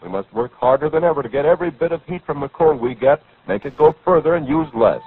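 A tinny old radio recording with music carries a male announcer, speaking fast. He delivers a sponsor's message with formal, rousing 1940s polish and a swooping high voice. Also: expressive.

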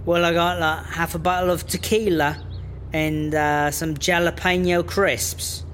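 A man's voice: fairly high pitch, flat delivery, unhurried, a bit bright. A man with a British accent playing a cheeky, mouthy smuggler driver in a comedy audio drama, slangy and casual. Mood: breezy, innocent. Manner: slangy, casual, feigning innocence. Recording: faint vehicle noise behind.

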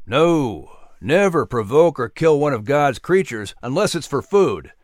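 A man's voice: fairly high pitch, lively intonation, unhurried, dry recording, studio quality. A man voicing a patient uncle who explains the woods like a teacher.

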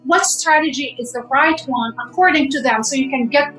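A woman's voice: high-pitched, brisk, fairly bright tone, faint music behind. A woman from Jerusalem, a guest giving fervent, urging advice in an interview, often repeating herself for emphasis. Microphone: camera. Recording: echoey room.

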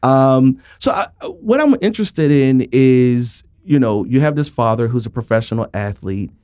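A man's voice: medium pitch, expressive, moderate pace, a little mellow, no room sound, studio quality. A man hosting an interview, drawing out his guest's story with encouraging, affirming prompts. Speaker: podcast host. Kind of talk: conversational interview.

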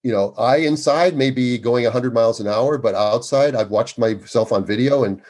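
A man in his sixties, an obstetrician speaking as a guest in an interview, with a loud, emphatic and earnest way of talking. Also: medium pitch, moderate pace. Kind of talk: conversational interview.

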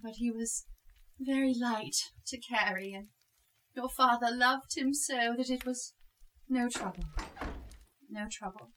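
A woman playing a gentle, grieving Victorian mother in a radio play, speaking softly and tenderly. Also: fairly high pitch, fairly even intonation, a little slow, pauses often, slight background noise, low-quality recording. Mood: wistful.

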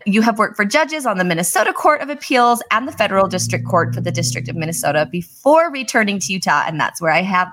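A woman's voice: mid-range voice, lively intonation, fast, few pauses, a bit bright. A woman hosting a podcast, chatting casually in a bubbly way and laughing easily. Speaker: podcast host. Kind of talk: casual interview chat.

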